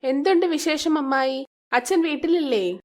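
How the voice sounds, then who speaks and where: A meek young expectant mother in a moral tale, played by a woman. Her voice is high, with a gentle, respectful way of addressing her elders. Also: normal speed, natural voice. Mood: polite, expectant. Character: meek, polite young pregnant stepdaughter in a moral story.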